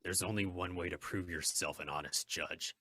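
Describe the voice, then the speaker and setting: An actor playing a stern, righteous masked crime fighter in an old-time radio drama, with formal, deliberate, commanding phrasing. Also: male voice, deep voice, steady tone, sharp tone, full character voice. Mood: stern, probing.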